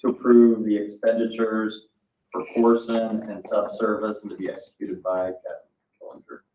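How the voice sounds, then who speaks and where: A man on a board talking informally and haltingly as he questions how things are done. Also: lowish voice, level tone, dark tone, a little hiss or hum. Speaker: board member. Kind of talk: informal meeting discussion. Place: indoor public meeting room. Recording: muffled, echoey room, distant mic.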